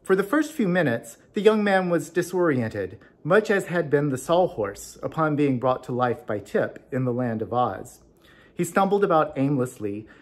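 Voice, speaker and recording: Guy doing a storybook read-aloud, introducing it and narrating. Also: a little high. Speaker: club officer introducing and reading a story.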